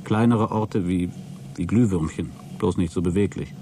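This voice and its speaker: A man's voice: low voice, moderate pace, background noise, close to an everyday voice. An actor playing a wry male astronaut in a radio play, giving clipped, matter-of-fact flight reports.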